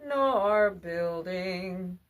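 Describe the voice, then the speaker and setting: A woman telling a children's bedtime story in a drawn-out, singsong delivery. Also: on the low side, lively intonation.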